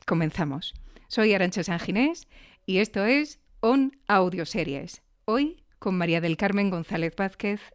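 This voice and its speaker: Casting: female podcast presenter, accent of Spain, crisp mid-range voice, scripted yet chatty delivery. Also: mid-range voice, measured pace. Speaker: podcast host and interviewer.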